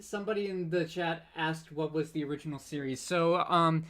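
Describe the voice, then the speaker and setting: A host chatting casually on a live stream, halting and hesitant, often repeating words before getting to the point. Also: mid-range voice, a bit bright. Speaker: panel host.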